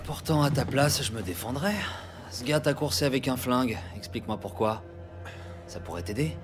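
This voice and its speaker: Actor as a needling streetwise cop over music. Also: male voice, mid-range voice, normal speed, close to an everyday voice. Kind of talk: dramatic audio series acting.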